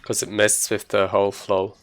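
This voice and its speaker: A man's voice: on the low side, completely flat delivery, moderate pace. A man giving casual, explanatory gameplay commentary as a speedrunner.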